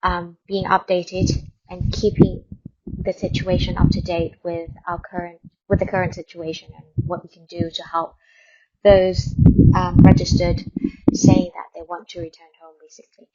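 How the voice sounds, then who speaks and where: A woman's voice: on the low side, level tone, normal speed, a little mellow, lo-fi sound, slight background noise. A woman from Thailand hosting a radio programme, chatting casually and informally in a rambling way, repeating words for emphasis. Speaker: radio host. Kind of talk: casual radio chat and news update.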